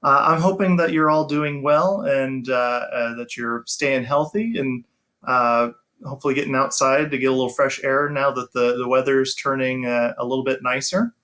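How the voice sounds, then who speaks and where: An American man, a schoolteacher, speaking to children as he shares a bedtime storybook in an expressive way. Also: mid-range voice, normal speed. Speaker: elementary school teacher reading a bedtime story. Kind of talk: children's storybook read-aloud.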